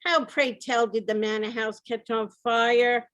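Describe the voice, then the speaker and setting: A woman's voice: on the high side, fairly even intonation, measured pace, a bit bright. A woman playing a distraught, incredulous rich husband who owns a mansion, in an old-time radio comedy style. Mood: incredulous despair. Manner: dramatic, incredulous, repetitive laments. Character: distraught rich mansion owner, husband, reeling from piling losses.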